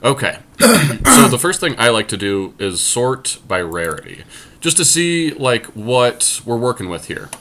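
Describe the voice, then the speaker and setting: A man's voice: medium pitch, big pitch swings, unhurried, fairly bright tone, slight background noise. A man co-hosting casual gameplay commentary, thinking aloud and explaining his choices as he goes. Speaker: podcast co-host and card game player.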